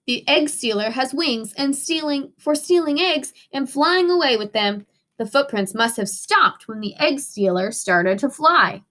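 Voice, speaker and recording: An eager little boy dinosaur detective in a storybook, read by a woman. The voice is high, bright and animated, explaining everything in earnest. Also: unhurried, full character voice.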